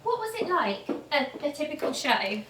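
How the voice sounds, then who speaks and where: An actress playing a curious, admiring visitor in a stage drama, asking questions in a gentle, inquisitive manner. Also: female voice, high-pitched, big pitch swings, moderate pace. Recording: roomy theatre with clear background noise.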